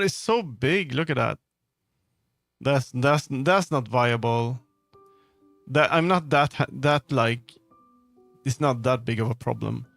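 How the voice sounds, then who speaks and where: A man livestreaming, chatting casually with viewers in a rambling, halting way as he muses aloud. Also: mid-range voice, gravelly, a bit bright, no room sound. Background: background music.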